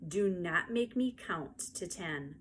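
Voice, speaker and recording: A woman voicing a weary but firm mother in a storybook, measured and patient. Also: on the low side, expressive, a bit bright, a little hiss or hum. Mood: quietly stern.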